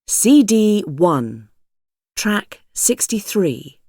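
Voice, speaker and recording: Very slow, mellow woman announcer. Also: mid-range voice, very animated, dry recording, clean studio sound. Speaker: course narrator. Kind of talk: announcing track numbers.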